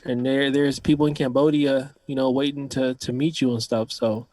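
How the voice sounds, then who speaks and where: A man's voice: medium pitch, level tone, normal speed, darkish tone, no room sound. A man hosting a casual podcast, talking in an easygoing, slangy way and hyping up his guest. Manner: easygoing hype talk, calls people dog. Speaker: podcast host. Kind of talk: casual chat.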